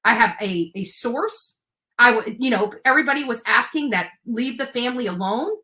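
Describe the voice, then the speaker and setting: A woman's voice: fairly high pitch, lively intonation, moderate pace, sharp tone. A woman livestream host delivering an impassioned, confrontational rant, emphatic and indignant, with some swearing.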